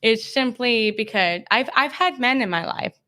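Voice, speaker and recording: A woman's voice: on the high side, lively intonation, normal speed, sharp tone. Plainspoken woman in her mid-twenties. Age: 20s. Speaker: panel guest. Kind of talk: casual chat.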